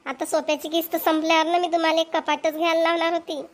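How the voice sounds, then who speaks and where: Female actor, argumentative young wife, cartoon, whiny, defiant, Vidarbha Marathi accent, extremely high-pitched. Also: flat delivery, fast. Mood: demanding. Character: spoiled, argumentative young wife, fond of shopping. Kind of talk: amateur cartoon voice acting.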